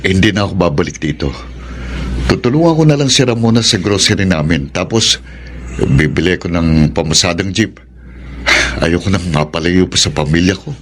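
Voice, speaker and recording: An actor with a Filipino accent playing a devoted middle-aged husband, firm but gentle, in a radio drama. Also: male voice, sits low, animated, normal speed, a little hiss or hum. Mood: happy, hopeful.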